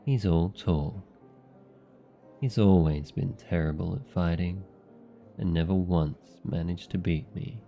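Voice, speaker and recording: A man playing a devoted, jealous young demon familiar in love, speaking softly and intimately in an ASMR boyfriend roleplay. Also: sits low, takes it slowly, vocal fry, dull audio, faint music behind, heavily acted. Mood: scornful.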